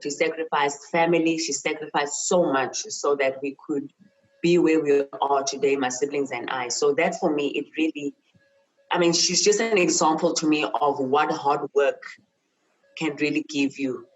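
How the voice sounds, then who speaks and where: Interview guest: woman, South African accent, low pitch, earnest and emphatic, personal storytelling with repeated phrases for stress. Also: steady tone, normal speed, poor audio. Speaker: university chemist and lecturer, interview guest.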